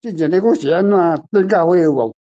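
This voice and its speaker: Taiwanese guy chatting, slightly high mellow voice, keeps asking right?, lo-fi with guitar music. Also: fairly quick. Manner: peppers talk with checking tag questions. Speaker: guest commentator. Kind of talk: casual chat. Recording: dull lo-fi sound under guitar music. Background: plucked guitar music.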